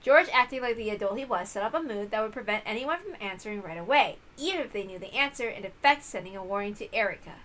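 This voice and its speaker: A woman reading scripted visual novel narration in an expressive storytelling style. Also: mid-range voice, quick pace, hardly any pauses.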